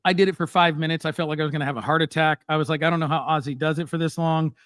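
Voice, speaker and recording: Guy hosting a live stream, kinda high voice, blunt and animated, dry close sound. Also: racing, no echo. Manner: animated, blunt storytelling with frequent fillers. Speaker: host. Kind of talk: casual live-stream chat.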